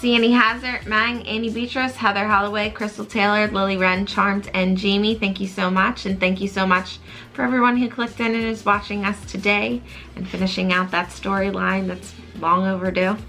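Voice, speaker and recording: A woman's voice: medium pitch, level tone, normal speed, pushed and bright, faint music behind. A woman co-hosting a reaction channel in casual chat, filling her sentences with "like". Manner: filler-heavy, says "like" often.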